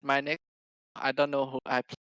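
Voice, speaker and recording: A man, a non-native English speaker, chatting casually as an esports player guest in simple, halting phrases. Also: medium pitch, monotone, bright, forceful tone, smooth, low-quality recording. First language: not English. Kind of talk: casual chat.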